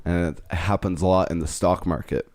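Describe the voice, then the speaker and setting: Casting: young man in his twenties, lowish voice, dry close sound, loose rambling podcast talk. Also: fairly even intonation, moderate pace, a little mellow, dry recording. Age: 20s. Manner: rambling, frank, trails off mid-thought. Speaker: podcast co-host. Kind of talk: casual chat.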